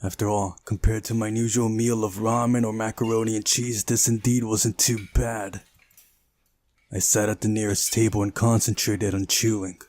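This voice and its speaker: A man's voice: on the low side, flat delivery, moderate pace, a little mellow. A man hosting a playthrough, reading a visual novel aloud and adding casual asides. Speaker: gaming channel host. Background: game music.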